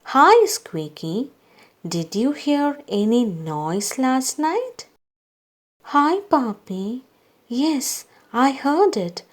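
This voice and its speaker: A woman reading a children's story aloud, acting out its dialogue in a deliberate way meant for young listeners. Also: high-pitched, sing-song.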